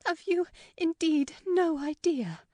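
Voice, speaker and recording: Brief: a woman playing a gentle, earnest lady in an audiobook, trembling and eager, pitched high and lively. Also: slow, dry recording, full character voice. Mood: agitated. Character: anxious, gentle middle-aged lady. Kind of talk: audiobook character reading.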